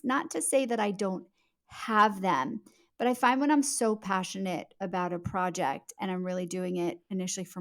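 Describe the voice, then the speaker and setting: A woman speaking as an author being interviewed, giving conversational answers in an earnest, emphatic way, leaning on key words. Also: fairly low pitch.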